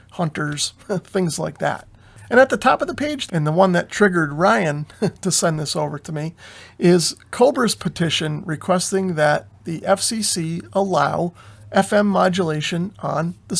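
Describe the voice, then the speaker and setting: An American man hosting an informal explainer, talking in a measured, explanatory way as a hobbyist giving his own take. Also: fairly high pitch, creaky. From United States. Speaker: video host, amateur radio hobbyist. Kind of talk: informal explainer commentary on radio news.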